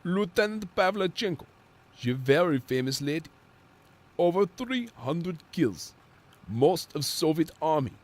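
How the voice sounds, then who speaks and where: A chatty, admiring Soviet driver, played by a male actor. The voice is high and animated, in radio-play style. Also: a little slow. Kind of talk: radio drama acting.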